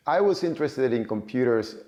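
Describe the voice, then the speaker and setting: A man's voice: medium pitch, expressive, fairly quick, dark tone. A man in his forties from Cuba, with a Cuban accent, talking casually about his own path into tech, with many fillers and repeated words. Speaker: data and AI tech professional. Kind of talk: casual personal talk to camera.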